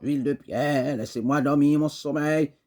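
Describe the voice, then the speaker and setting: A man reciting poetry dramatically, in an impassioned, declamatory way with emphatic pauses. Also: on the high side, fairly even intonation, a little mellow. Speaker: poet reciting.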